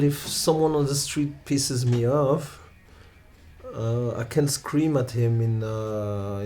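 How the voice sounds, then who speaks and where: A man in his thirties with a Romanian accent, a non-native English speaker, telling stories from his own life in an interview, halting and restarting often and laughing along. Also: mid-range voice, mellow. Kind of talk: relaxed personal storytelling.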